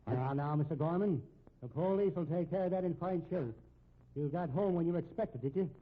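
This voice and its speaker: Man acting folksy, higher-voiced old-radio policeman. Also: a little fast, natural voice. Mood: businesslike. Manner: folksy, avuncular turns of phrase. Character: kindly, folksy middle-aged policeman, soothing and dogged. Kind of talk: 1950s radio drama acting. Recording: tinny, hissy 1950s radio recording.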